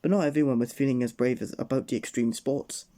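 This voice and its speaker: Dark-voiced man reading a bedtime story. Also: mid-range voice, steady tone, fast talker. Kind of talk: children's storybook narration.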